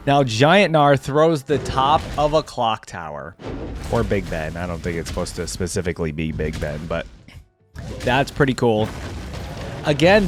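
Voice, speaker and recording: A man giving casual reaction commentary as a gamer, thinking aloud in an informal way. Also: mid-range voice. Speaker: gamer reacting to game character skins.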